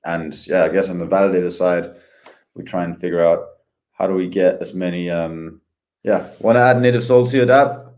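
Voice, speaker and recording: A man chatting casually as a podcast guest, easygoing and hesitant with many pauses. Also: low-pitched, steady tone, darkish tone. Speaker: head of ecosystem, podcast guest.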